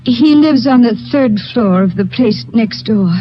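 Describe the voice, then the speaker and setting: A young European émigré woman who speaks formally and carefully, with polite, nervous hesitations. Her voice sits fairly high. The sound is the dull, narrow tone of an old radio broadcast. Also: animated, measured pace. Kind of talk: 1950s radio drama acting.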